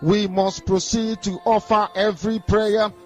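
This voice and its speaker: A high-voiced man preaches in a solemn, declamatory tone. He calls everyone to prayer. Faint hip hop music plays behind him. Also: level tone, measured pace, darkish tone.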